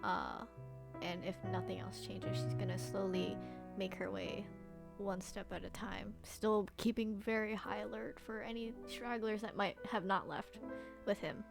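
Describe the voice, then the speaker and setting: A woman's voice: medium pitch, fairly even intonation, a little slow, creaky. A woman playing a tabletop game, casually and tentatively narrating what her character does, step by step. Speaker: player in a tabletop game podcast. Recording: prominent guitar music behind the voice. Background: guitar music.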